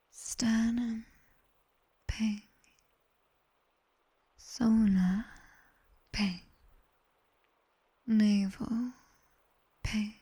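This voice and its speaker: A British woman playing a sharp-tongued nightmare demoness tutor in an ASMR roleplay, mostly whispering slow, commanding, dryly teasing instructions. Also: medium pitch, husky, heavily acted. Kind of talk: ASMR roleplay, guided body scan.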